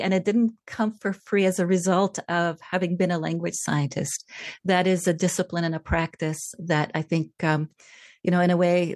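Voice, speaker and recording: A woman author who grew up in Czechoslovakia, reading from her book and then answering interview questions in a thoughtful, measured way. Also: on the low side, darkish tone, no echo, clean studio sound. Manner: thoughtful, measured, with frequent fillers. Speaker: author and language scientist.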